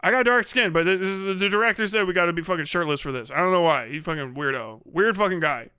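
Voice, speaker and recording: A man's voice: high-pitched, fast, sharp tone, clear, resonant tone, no room sound. A man voicing a fed-up, baffled film extra, folksy and profane, complaining in a comic skit. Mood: grumbling.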